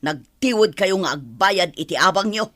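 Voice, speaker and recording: A woman's voice: mid-range voice, expressive, moderate pace, a bit bright. A woman playing a stern, fed-up older landlady who nags and scolds in a radio drama. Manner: nagging, scolding.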